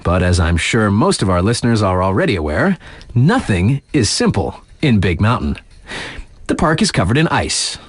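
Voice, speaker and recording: An actor playing a laid-back, wry mountain-town radio DJ in a video game, reading mock local news with casual, slangy deadpan asides. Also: male voice, fairly low pitch, moderate pace, husky, no room sound. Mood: upbeat, wry.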